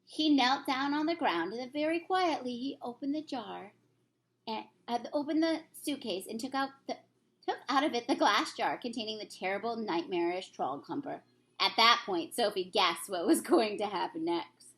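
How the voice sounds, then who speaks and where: Lady teacher reading a kids book out loud, pretty high voice, trips on words now and then. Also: expressive, normal speed. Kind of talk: storybook read-aloud.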